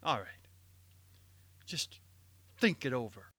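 A man's voice: medium pitch, sing-song, slow, fairly bright tone, dry recording, full character voice. An actor playing a weary, anguished middle-aged male lawyer in an old-time radio drama, stammering with agitation.

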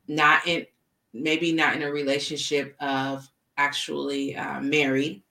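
A woman in her early forties co-hosting a podcast, chatting in a relaxed way with frequent fillers. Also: sits low, far from the mic. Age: 40s. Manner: laughs often, relaxed, uses fillers. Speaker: podcast co-host. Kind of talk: casual chat. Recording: echoey room, off-mic.

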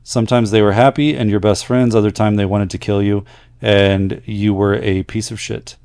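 A man with a fairly low voice co-hosts a casual podcast. He speaks conversationally with some hesitant pauses, whether reading letters or commenting as a nurse. The recording is dry, with little room sound. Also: steady tone, darkish tone, smooth, no echo.

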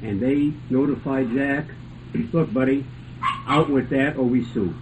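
A man's voice: mid-range voice, measured pace. An older man, a radio club panelist, reminiscing in a casual, jovial, rambling way. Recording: noisy phone-like lo-fi radio sound.

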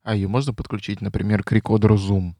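A man's voice: on the low side, little pitch movement, quick pace, mellow, no room sound, clean studio sound. A man co-hosting a podcast, asking inquisitive questions in conversation.

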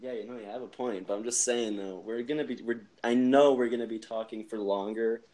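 A man's voice: mid-range voice, fairly even intonation, brisk, warm tone. A young man chatting casually on a phone call from home at night, rambling with lots of fillers and laughing easily. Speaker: podcast co-host. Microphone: phone on speaker.

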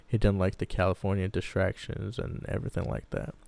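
A man's voice: deep voice, flat delivery, brisk, creaky, a little mellow, dry recording. A man in his twenties from Iraq, a podcast co-host, casually telling his own story in a loose, hedging way. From Baghdad, Iraq. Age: 20s.

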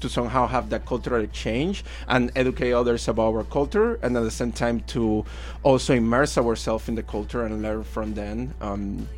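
A man's voice: medium pitch, moderate pace, hardly any pauses, soft background music. A man in his thirties from Venezuela with a Venezuelan Spanish accent, talking through his story as a guest on a radio interview, full of fillers and small laughs. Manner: friendly and reflective, with frequent fillers and laughs. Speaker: guest artist. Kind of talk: casual interview.

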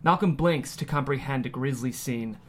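A man's voice: medium pitch, normal speed, slight background noise. A man hosting a studio table-read show, presenting and reading out screenplay narration in an upbeat way.

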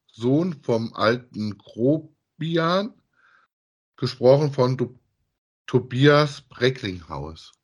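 A man co-hosts a review podcast in a relaxed, conversational style. His pitch sits in an ordinary middle range for a man, and he talks informally, as in a chat between co-hosts. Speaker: podcast co-host. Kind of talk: casual chat reviewing audio dramas.

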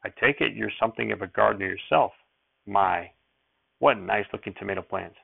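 Brief: man doing a quiet, polite pioneer mother, low gravelly voice, phone-like radio audio. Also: brisk, dry recording, heavily acted. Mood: gentle. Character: gentle, composed pioneer mother of five. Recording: tinny lo-fi radio recording.

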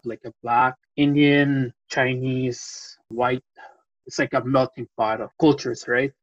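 A man's voice: medium pitch, slightly rough, dry recording. A man in his thirties from the Philippines, a panel guest telling his own story in a plain, matter-of-fact way. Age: 30s. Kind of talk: personal storytelling in a panel discussion. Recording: dull, muffled audio.

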